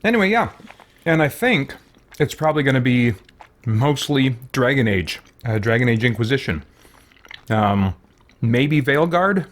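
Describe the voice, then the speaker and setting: A man's voice: medium pitch, big pitch swings, measured pace, pauses often. A man hosting a game livestream in casual chat, rambling and thinking aloud as he goes. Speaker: live streamer hosting a game playthrough.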